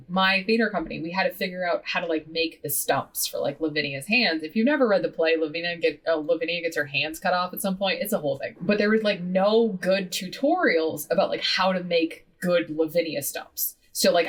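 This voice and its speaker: A woman in her thirties, a podcast guest talking in a lively, casual way, full of "like" and casual swearing. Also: on the low side, distant mic. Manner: animated, heavy use of "like", swears casually. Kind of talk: casual interview chat.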